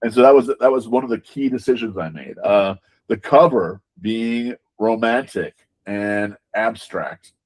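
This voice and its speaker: A man's voice: on the low side, a little slow, low-quality recording. A man giving conversational interview answers as a game designer, emphatic, repeating words and often checking in with rhetorical questions.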